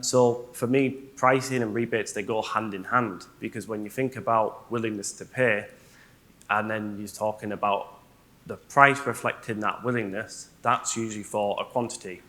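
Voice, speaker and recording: Low, bright-voiced man explaining on a panel. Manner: explanatory, often checks with "right?". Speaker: business excellence manager. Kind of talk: conference panel talk.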